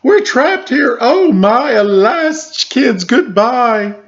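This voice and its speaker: A man's voice: very high voice, expressive, drawn-out words, highs cut off, voice pushed up, full character voice. A man voicing a panicked woman schoolteacher from a children's storybook, wailing in melodrama. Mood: panicked, despairing. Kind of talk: children's storybook read-aloud.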